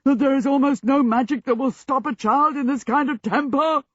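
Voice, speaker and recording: Woman doing a huge, booming, ponderous djinn. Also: dry recording, dull audio. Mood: thundering frustration. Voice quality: booming, loud. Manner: slow, ponderous, drawn-out words. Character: huge winged male djinn, booming, ponderous, desperately placating.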